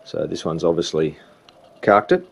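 A man's voice: low voice, normal speed, vocal fry, slightly rough. A man talks through a hands-on repair. His delivery is informal and explanatory, going one step at a time. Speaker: repair hobbyist demonstrating a belt change. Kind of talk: casual hands-on repair walkthrough.

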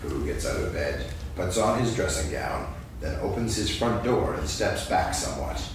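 Casting: deep, calm male storybook narrator, far-off echoing hall, audible hiss. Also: moderate pace. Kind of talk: storybook narration for a staged reading.

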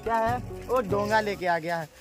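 Chatty high-voiced man filming a village vlog. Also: normal speed, over music. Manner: chatty and teasing, addresses viewers as guys. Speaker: vlogger. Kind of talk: casual village vlog chat. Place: outdoors on a rural village road. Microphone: phone held at arm's length.

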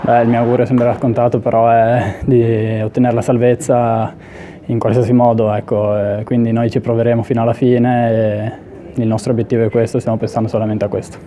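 Flat, mellow young male footballer. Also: mid-range voice, a little fast. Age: 20s. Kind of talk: post-match interview. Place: indoor press area in front of sponsor backdrop. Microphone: handheld interview mic. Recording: slightly dull, noisy interview audio.

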